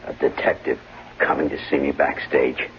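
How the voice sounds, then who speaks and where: An actor playing a cold, scheming husband in a radio drama, controlled and menacing in short clipped phrases. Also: male voice, a bass voice, level tone, normal speed. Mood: irritated, worried. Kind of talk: old-time radio drama acting. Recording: dull old radio recording, humming noise.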